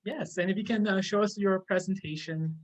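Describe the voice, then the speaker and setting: A man's voice: high voice, brisk. Man, webinar host, scripted guest introduction, read aloud, video call.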